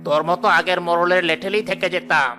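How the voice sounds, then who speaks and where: A man's voice: high-pitched, fairly even intonation, fast talker, pushed and bright, faint music behind. Man playing a smug, greedy village headman. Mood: gloating, scheming. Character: greedy, scheming rich male village headman. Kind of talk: animated moral story voice acting.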